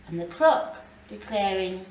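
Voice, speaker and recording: A woman reading literary fiction aloud live, with measured, dramatic phrasing and pauses. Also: medium pitch, lively intonation. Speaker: reader of a short story. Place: library reading event, indoors. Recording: roomy, distant mic, faint background noise.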